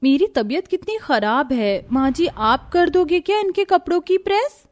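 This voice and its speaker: A woman's voice: high-pitched, animated, normal speed, pitched above the performer's own voice. A woman voicing a spoiled, rich young bride in a cartoon moral story, with an entitled, self-pitying manner.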